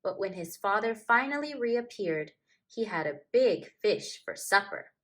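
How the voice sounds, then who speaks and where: A woman teacher reading a picture book aloud to young children in an expressive, emphatic, deliberate way. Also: mid-range voice. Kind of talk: animated children's storybook narration.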